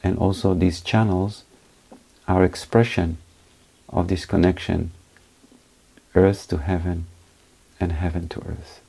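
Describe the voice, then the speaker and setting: Slow, low-voiced man guiding meditation. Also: fairly even intonation, gravelly, warm tone, breathy edge, rough recording. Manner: unhurried, spaced short phrases with pauses. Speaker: meditation teacher. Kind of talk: guided meditation instruction.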